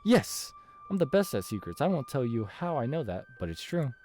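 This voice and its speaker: A man's voice: mid-range voice, sing-song, normal speed, a little mellow, soft background music, voice pushed up, natural voice. A man voicing a small, curious boy who is timid yet eager to help, in a visual novel read-aloud.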